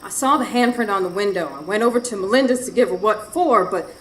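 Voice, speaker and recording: An actress playing a feisty, fearless wife in a live radio horror drama, speaking with sassy, dramatic defiance. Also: female voice, medium pitch, expressive, quick pace, a bit bright, slight background noise, close to an everyday voice, poor audio. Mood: impatient. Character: feisty, jealous, fearless Southern wife fighting a witch. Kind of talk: live radio drama acting and first-person narration.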